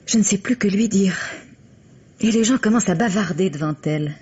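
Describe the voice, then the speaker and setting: A devoted gentle woman in an old radio play, husky voice, dull sound. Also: medium pitch, fairly quick. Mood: concerned. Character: kind, devoted woman helping a widower and child. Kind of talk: French mystery radio play acting. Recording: dull vintage radio recording.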